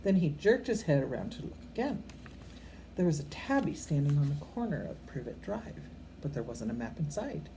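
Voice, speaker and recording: Low-voiced older woman reading a story aloud. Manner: expressive, occasionally stumbling over words and correcting herself. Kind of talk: read-aloud storytelling with informal asides to children. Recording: amateur recording with faint background noise.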